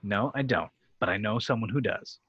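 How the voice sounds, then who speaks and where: Bright, husky man playing earnest space captain. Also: fairly low pitch, normal speed, no echo, muffled recording. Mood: earnest, urgent. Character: male TV actor who plays a starship captain, urgent, earnest. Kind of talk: script table read.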